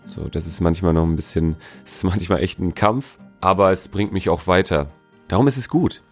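A man's voice: deep voice, normal speed, faint music behind. A man answering interview questions in a casual, informal, laid-back way, as a musician talking about himself. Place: at home.

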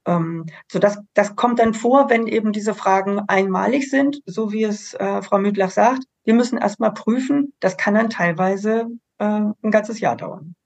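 A woman's voice: mid-range voice, unhurried, creaky, few pauses, darkish tone. A woman speaking for an association on a panel, explaining things earnestly and at length. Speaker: association representative. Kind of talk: panel discussion.

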